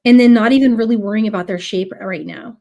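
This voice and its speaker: A woman's voice: mid-range voice, fast talker, vocal fry, dark tone. A woman with a cold teaching a live beading class, chatty and full of ums as she greets viewers. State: has a cold. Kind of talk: casual live tutorial.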